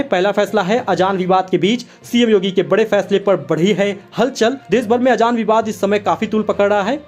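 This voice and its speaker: A man's voice: high voice, a little mellow. A man presenting scripted news narration for an online video channel, addressing viewers directly as friends. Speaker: host of a news explainer channel. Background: background music.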